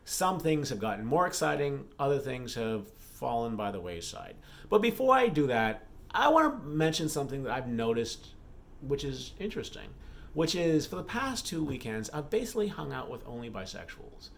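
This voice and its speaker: A man chatting casually to his vlog audience as an author, halting and repeating phrases for emphasis. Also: medium pitch, very animated, creaky. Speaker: romance author and vlogger.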